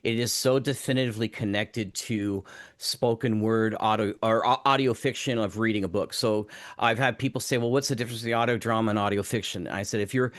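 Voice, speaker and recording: A man's voice: fairly low pitch, steady tone, a little fast, dry recording, studio quality. A man speaking as a panelist in a discussion, arguing his point emphatically with examples. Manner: emphatic, argues through examples. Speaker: panelist, audio drama maker.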